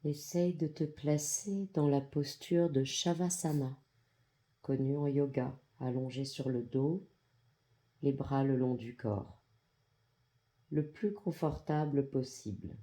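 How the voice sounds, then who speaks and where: Slow, very mellow yoga nidra guide, gender-neutral voice. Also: medium pitch. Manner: calm, soothing, measured with long pauses. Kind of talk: guided meditation, soft instructional narration.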